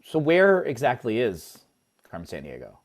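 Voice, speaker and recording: A young man with a middle-pitched voice chats as a podcast guest. He jokes freely and cuts in with quick questions, keeping the talk loose and playful. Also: wildly swooping pitch. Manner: quick, joking, prone to interrupting. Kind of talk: casual conversation.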